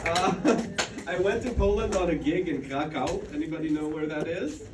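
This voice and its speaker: A man working as a comedy club MC, with loud, hyped crowd work. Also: a little high, normal speed. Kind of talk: stand-up banter. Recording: roomy, distant and noisy club sound.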